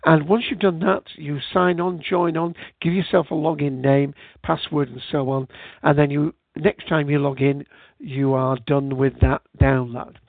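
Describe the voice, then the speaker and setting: A man's voice: a little high, little pitch movement, a little slow, gravelly, no echo. A British man hosting a casual podcast into a USB headset, rambling with frequent fillers. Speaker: podcast host. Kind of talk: casual solo podcast talk. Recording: tinny phone-like line.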